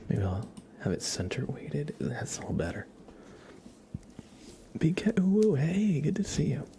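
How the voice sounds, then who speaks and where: A man hosting an ASMR livestream, chatting casually and playfully in a soft voice that often slips into whispers. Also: on the high side, very animated, measured pace, gravelly, noisy background. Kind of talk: ASMR, casual chat to viewers and a pet cat.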